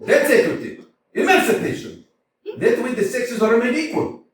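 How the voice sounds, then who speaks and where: A man voicing a hot-tempered, blustering landowner in a staged reading of a play, with theatrical shouting bursts. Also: extremely high pitch, lively intonation, normal speed, slight background noise. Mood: impassioned. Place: small community theater. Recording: echoey room, far from mic.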